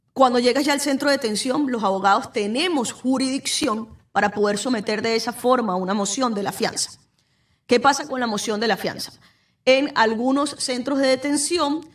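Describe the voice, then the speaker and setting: A young adult woman lawyer with a Venezuelan accent, hosting a podcast and explaining legal matters directly to the listener. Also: a little high. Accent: Venezuelan Spanish. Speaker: immigration lawyer, podcast host. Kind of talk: informative solo talk. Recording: voice somewhat far from the mic.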